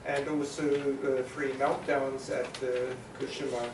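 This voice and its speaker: A man from New Zealand moderating a conference panel discussion, summarizing points with many pauses and filler sounds. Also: mid-range voice, little pitch movement, background noise. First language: Maori. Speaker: panel facilitator. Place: conference hall. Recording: echoey hall, mic at a distance.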